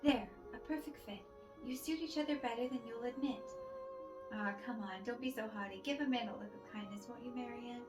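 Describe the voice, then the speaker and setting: A high-voiced, sassy servant girl from a stage comedy, put on by a woman reader. The maid is bossy and theatrically exasperated, heard in a roomy space with music behind. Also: brisk, natural voice. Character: sassy, bossy ladies' maid, sharp-tongued and scolding.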